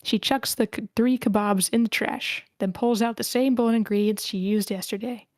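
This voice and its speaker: A woman's voice: fairly high pitch, steady tone, normal speed, no room sound. A woman reading stage directions as narrator, in scripted narration at a screenplay table read.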